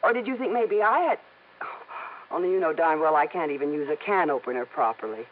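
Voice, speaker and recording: A woman's voice: sits low, expressive, a little fast. An actress playing a poised, teasing young working woman with coy, bantering delivery in a 1950s radio drama. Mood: curious, puzzled. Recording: muffled band-limited old radio, faint noise.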